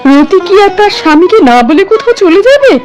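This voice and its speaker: A woman plays an anxious village auntie in a horror cartoon. Her voice is high, with insistent, protective worry. Light music sits behind her. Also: very animated, a little fast. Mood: worried. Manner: worried, insistent questioning.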